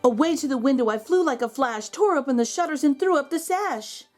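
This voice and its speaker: High, mellow female children's storyteller. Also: brisk. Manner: dramatic, animated storytelling for children. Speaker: children's story reader and host. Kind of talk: expressive read-aloud of a children's poem. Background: background music.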